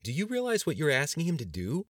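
A man acting a panicked, shouting cabinet member. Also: on the high side, wildly swooping pitch, fast, no echo, clean studio sound, pitched above the performer's own voice. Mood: near hysterical. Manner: shouting, incredulous, mocking. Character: panicked, scornful male cabinet member arguing in dread. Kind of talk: dramatized audiobook dialogue.

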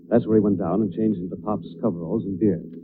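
An actor playing a confident detective who explains things with assurance in 1940s radio drama style. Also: male voice, fairly low pitch, steady tone, quick pace, lightly acted. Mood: assured, explaining. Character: confident male detective explaining a solved case. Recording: noisy, tinny old radio recording.

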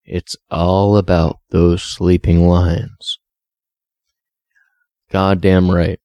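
Man, podcast co-host, deep dark gravelly voice, slow pace, dry close mic. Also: little pitch movement, no echo. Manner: slow, halting, profane, many fillers. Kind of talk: casual podcast chat.

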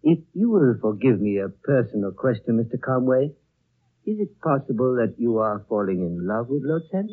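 Husky, courtly old monastery elder. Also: male voice, mid-range voice, sing-song. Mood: courtly, measured. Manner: formal, measured, politely evasive. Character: courteous, discreet lama aged over a hundred, looking younger. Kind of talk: 1930s radio drama acting. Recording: thin, narrow old 1930s radio recording.

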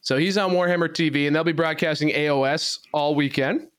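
A man co-hosts a casual chat, looping through event announcements with plenty of "um"s and restarts. His voice sits a little high and comes through smooth and clear, recorded dry and close. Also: fairly quick, fairly bright tone, dry recording. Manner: rambling, plugs events with filler words. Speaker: co-host. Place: studio.

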